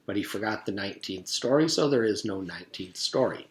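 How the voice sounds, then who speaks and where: A man reading a children's book aloud as a teacher would for pupils, with expressive storytelling. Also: fairly low pitch, brisk. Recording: echoey, reverberant room.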